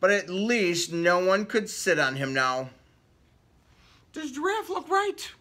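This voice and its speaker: A man's voice: high voice, sing-song, slow pace, pushed and bright. A man reading a picture book aloud to children, theatrical, with casual questioning asides. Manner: theatrical, asks the young listeners questions. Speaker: children's story reader. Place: indoor room with book cut-outs.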